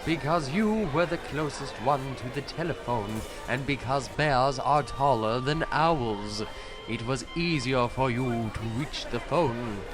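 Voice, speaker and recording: A man's voice: medium pitch, lively intonation, measured pace, over music, close to an everyday voice. A man voicing a bossy stuffed owl who is an impatient, superior know-it-all in a comic audio drama. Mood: smug, bossy. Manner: exasperated, superior, commanding.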